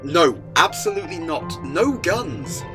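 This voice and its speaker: A man's voice: fairly high pitch, very animated, unhurried. A man playing a quirky alien time-travelling adventurer in an audio drama, theatrical, with stammers and emphatic bursts. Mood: firm, protective. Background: music.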